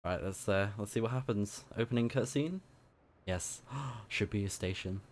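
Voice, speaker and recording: A man's voice: on the low side, moderate pace. A young man with a slightly scratchy voice giving enthusiastic, casual live commentary as he plays a video game. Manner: enthusiastic, thinking aloud. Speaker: game streamer. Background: game music.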